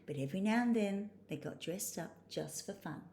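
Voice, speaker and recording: A woman reading a children's story aloud in slow, expressive, simple phrases, with faint noise behind her. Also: medium pitch. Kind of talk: read-aloud storybook narration.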